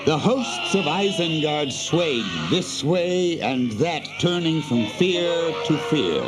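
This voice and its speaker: A man's voice: fairly high pitch, expressive, takes it slowly, loud music behind the voice. A man giving solemn, theatrical scripted narration of a dramatic story.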